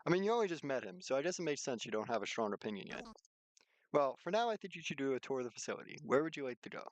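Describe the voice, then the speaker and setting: A man's voice: medium pitch, big pitch swings, racing, no room sound, voice pushed up, lightly acted. A man voicing a bubbly, welcoming female android worker in a visual novel, with a chirpy, friendly manner. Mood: cheerful, welcoming.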